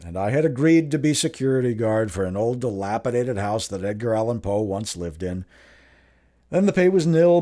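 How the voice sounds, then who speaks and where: A man's voice: fairly low pitch, mellow. An actor playing a blunt, wry older Irish-American man telling a rambling, slangy yarn in a radio drama. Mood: breezy. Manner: slangy, chatty, rambling with self-corrections and chuckles. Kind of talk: radio drama narration, folksy first-person yarn.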